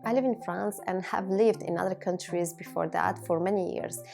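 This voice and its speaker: Creaky-voiced woman in her thirties from Bulgaria. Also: on the low side, normal speed, a little mellow, soft background music. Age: 30s. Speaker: video blogger. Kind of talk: reflective personal monologue to viewers.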